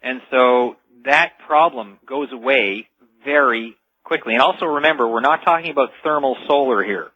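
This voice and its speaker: A man speaking as an expert guest on a radio interview, explaining things in an emphatic, measured way. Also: medium pitch, slight background noise. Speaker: interview guest, technology author. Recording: dull, band-limited radio connection.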